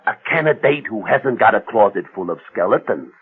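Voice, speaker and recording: An actor playing a glib, pushy senator in a radio drama, smooth-talking, insistent and blustering. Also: male voice, on the low side, expressive, a little fast, phone-quality sound. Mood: pushy persuasion. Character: glib, pushy male senator and party fixer.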